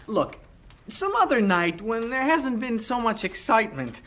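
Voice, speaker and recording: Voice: mid-range voice, very animated, a little slow, a bit bright. An actor playing a sensible, considerate, friendly boy in a 1970s radio drama. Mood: tired, apologetic.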